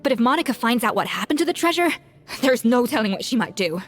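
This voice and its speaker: An actress playing a sharp, dramatic young game heroine, with an earnest and crisp manner. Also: female voice, a little high, animated, fast talker, natural voice. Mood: pensive. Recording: music behind.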